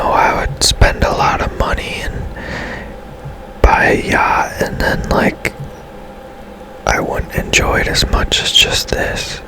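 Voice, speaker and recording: A man whispering casual, rambling ASMR commentary over his own gameplay, musing as he goes. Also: low-quality recording. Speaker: gaming streamer narrating own gameplay.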